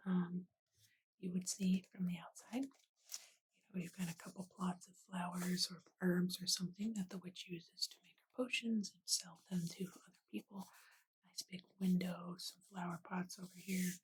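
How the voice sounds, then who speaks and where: A woman whispering gently and unhurriedly in a breathy, soothing ASMR style as she narrates her drawing. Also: fairly low pitch. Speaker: ASMR artist and host. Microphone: USB desktop microphone. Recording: noisy close mic, clicks and writing.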